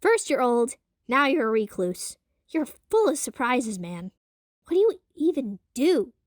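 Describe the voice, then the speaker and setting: A woman's voice: high voice, big pitch swings, unhurried, no echo, clean studio sound, full character voice. A woman playing a casual, upbeat young teenage girl who teases bluntly in an audio drama. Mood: teasing. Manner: blunt, slangy, sarcastic.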